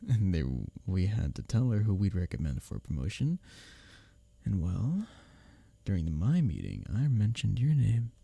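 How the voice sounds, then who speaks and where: A man acts out a male office rival in an intimate ASMR audio drama, secretly smitten beneath the teasing. His low voice is gravelly and mellow, swinging expressively as he speaks earnestly and close to the listener. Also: dry recording, exaggerated voice. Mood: sincere, hesitant. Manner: expressive, halting confessions with soft chuckles and sighs.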